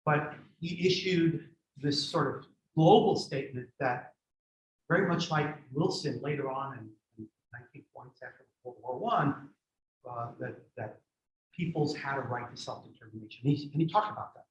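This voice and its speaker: A man's voice: medium pitch, normal speed. A man born in Greece giving a history lecture and answering audience questions in a thoughtful, digressive way with many restarts. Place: community center lecture room. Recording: echoey room, dull lo-fi sound.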